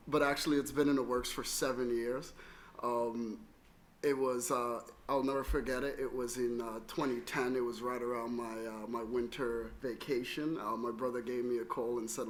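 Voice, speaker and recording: A man's voice: mid-range voice, fairly bright tone. A man from Trinidad and Tobago giving a personal, explanatory talk to an audience as a panelist and company founder. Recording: noisy live room recording.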